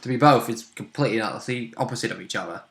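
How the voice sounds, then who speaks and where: A man's voice: medium pitch, very fast, vocal fry, fairly bright tone. A man chatting casually about the rules and story of a tabletop role-playing game as a co-host. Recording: roomy, echoing room sound.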